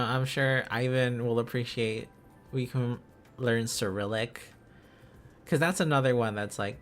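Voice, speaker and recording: Slow-paced man chatting casually on a livestream. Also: mid-range voice. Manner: giggly, enthusiastic, rambling. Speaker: livestream host. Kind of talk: casual livestream chat while learning Korean script.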